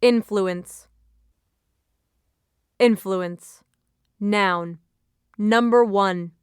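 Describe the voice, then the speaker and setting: A woman reading scripted vocabulary entries and example sentences as an educational narrator, enunciating carefully in a measured way. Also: mid-range voice, fairly bright tone, clear, resonant tone, no room sound, studio quality.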